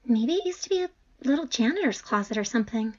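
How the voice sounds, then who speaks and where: A woman's voice: a little high, animated, fast talker, highs cut off. A woman playing a friendly, cautious professor in an audio drama, talking in an informal, tentative way. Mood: curious.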